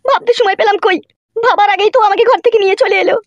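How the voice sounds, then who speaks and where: A woman's voice: very high-pitched, racing, no room sound, dull audio. A woman playing a put-upon young wife and tenant in a comic cartoon, evasive and protesting. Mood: resigned.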